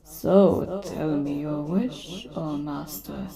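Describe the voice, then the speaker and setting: A woman's voice: sits low, very slow, vocal fry, a crowd in the background, heavily acted. A woman voicing an ancient genie of the lamp in a roleplay audio, weary yet playful, moving between grand servitude and casual sass. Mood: grand, ceremonial. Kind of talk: roleplay audio acting addressed to the listener.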